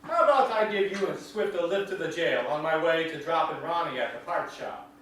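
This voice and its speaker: A man's voice: a little high, a little fast, a bit bright, natural voice. A man playing a genial small-town countryman in a stage drama, talking in a folksy rural dialect. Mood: kindly. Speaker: actor in a staged reading. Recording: echoey hall, distant mic, faint noise.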